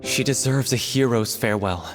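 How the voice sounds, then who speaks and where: An actor as a sober man from a theatre troupe in a video game. He is measured and decisive, with a mid-pitched voice in long reverb and faint music behind. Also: lightly acted. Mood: solemn. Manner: measured, serious.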